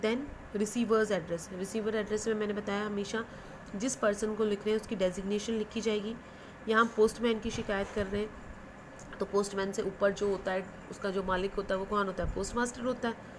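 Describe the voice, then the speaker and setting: Indian woman teacher over loud background noise. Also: mid-range voice, fairly even intonation, fairly quick. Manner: instructive, repeats and translates phrases, frequent check-in tags. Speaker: English teacher for school students. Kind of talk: explanatory lesson mixing Hindi with English phrases.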